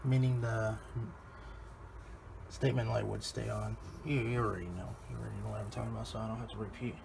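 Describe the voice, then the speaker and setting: A man's voice: on the low side, steady tone, fast, creaky. A man chatting casually and unscripted in an offhand, muttering way, as a hobbyist narrating his own phone video. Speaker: radio hobbyist filming videos. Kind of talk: casual unscripted vlog chat. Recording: noisy phone video recording.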